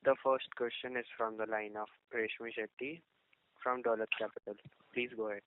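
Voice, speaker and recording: Man, call moderator, formal monotone script, narrow noisy phone line. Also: on the low side, brisk. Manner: formal, routine. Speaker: conference call operator.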